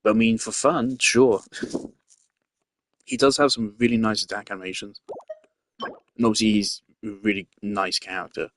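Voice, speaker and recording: A man's voice: on the low side, level tone, a little slow, pauses often, fairly bright tone, slight background noise. A man giving casual game commentary, rambling and thinking aloud as he plays. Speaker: gamer. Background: faint game music.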